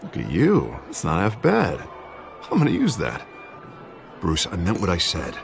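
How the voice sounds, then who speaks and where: An actor playing a middle-aged American politician on the campaign trail, glib and persuasive, in a video game cutscene. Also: male voice, deep voice, wildly swooping pitch, unhurried, creaky, soft background music, exaggerated voice. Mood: earnest, persuasive. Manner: glib, persuasive, theatrical speechifying. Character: smooth-talking, ambitious male politician on the campaign trail.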